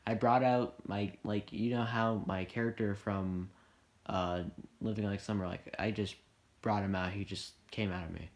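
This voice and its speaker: Low-voiced rambling young man interviewing. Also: fairly even intonation, moderate pace. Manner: rambling, heavy use of "like" and restarts. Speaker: interviewer and filmmaker. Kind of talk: casual interview chat.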